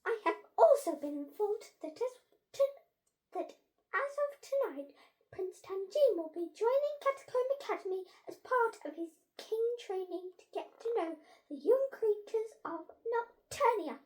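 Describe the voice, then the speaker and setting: Woman acting a shrill, emphatic lady head teacher. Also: lively intonation, measured pace, poor audio. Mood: grand announcing. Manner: loud, emphatic, proclaiming. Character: flustered, stern female head teacher at a monster school.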